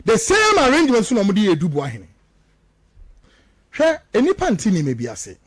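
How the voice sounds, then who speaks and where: High-pitched, animated Ghanaian man, emphatic. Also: a little fast. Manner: emphatic, accusatory, repeats phrases for stress. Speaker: political commentator on a radio show. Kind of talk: impassioned talk radio commentary mixing two languages.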